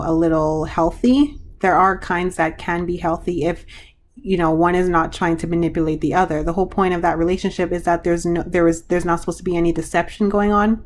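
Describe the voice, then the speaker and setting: Hoarse woman giving a card reading. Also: lowish voice, a little hiss or hum. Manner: frank, chatty, trailing restarts. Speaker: tarot card reader. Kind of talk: pick-a-card reading to viewers.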